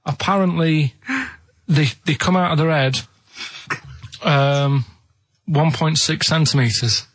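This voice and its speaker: A male radio co-host with a slightly high voice, chatting casually. He rambles and argues his way through the banter, circling back over his points. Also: slow, long pauses, fairly bright tone, no echo. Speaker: radio show co-host and producer.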